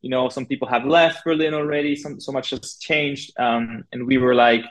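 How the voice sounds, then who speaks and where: A man from Argentina speaking as a podcast guest in a casual, conversational way, informal and halting, with plenty of filler words. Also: mid-range voice, steady tone, low-quality recording. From Argentina (Buenos Aires). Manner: informal, halting, peppered with 'like' and 'you know'. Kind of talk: casual conversational interview, telling own career story.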